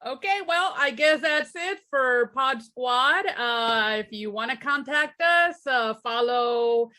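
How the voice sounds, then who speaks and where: A woman's voice: high voice, expressive, takes it slowly, pushed and bright. A woman hosting a podcast, chatting casually over a video call, laughing often and reading from a list. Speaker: podcast host. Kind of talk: casual chat.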